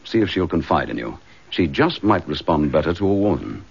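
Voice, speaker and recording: An actor playing a steady, dryly courteous middle-aged English chief inspector in a radio detective drama. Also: male voice, low-pitched, brisk, creaky, husky, exaggerated voice. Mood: businesslike, dry. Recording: dull lo-fi radio drama recording.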